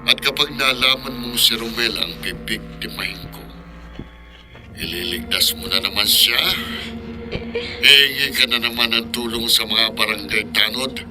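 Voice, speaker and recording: A man's voice: mid-range voice, measured pace, music in the background, natural voice. A Filipino man playing a stern, threatening middle-aged father who is a monster in a horror radio drama. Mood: menacing, accusing. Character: menacing, overbearing father who turns into a manananggal monster.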